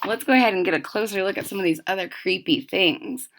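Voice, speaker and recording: A woman reading a children's story aloud expressively, stressing and drawing out key words and adding explanatory asides. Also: medium pitch. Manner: dramatic emphasis, drawn-out key words. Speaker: storybook reader.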